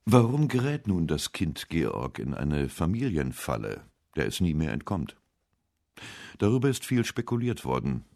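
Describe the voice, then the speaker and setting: Very deep-voiced male documentary narrator. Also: sing-song, moderate pace, creaky, a little mellow, no echo, clean studio sound. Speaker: radio essay narrator.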